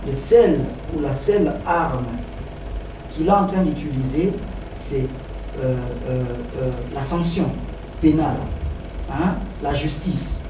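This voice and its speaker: High-pitched Congolese man, echoey distant recording. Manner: halting, emphatic, repeats key phrases. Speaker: political party spokesman, debate guest. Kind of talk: political debate speech. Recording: roomy, distant mic, clearly noisy.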